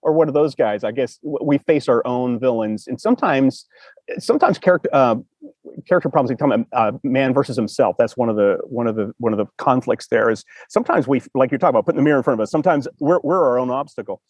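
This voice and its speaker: A man's voice: mid-range voice, quick pace, darkish tone, no echo. A man hosting a casual, unscripted interview, asking questions in an informal, rambling way. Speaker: talk show host.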